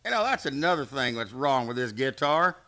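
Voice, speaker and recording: A man's voice: medium pitch, big pitch swings, fairly quick, background noise, close to an everyday voice. A man playing a swaggering, mocking cowboy bully in a Western radio play, with a folksy, taunting manner.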